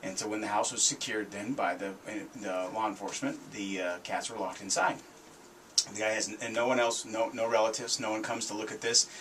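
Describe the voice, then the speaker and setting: A man's voice: lowish voice, fairly even intonation, fairly quick, a bit bright, a little hiss or hum, low-quality recording. A middle-aged American man hosting a radio show, telling stories in a casual, conversational and emphatic way. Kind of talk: casual radio talk, storytelling. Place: small foam-treated radio studio, indoors. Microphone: studio microphone on boom arm.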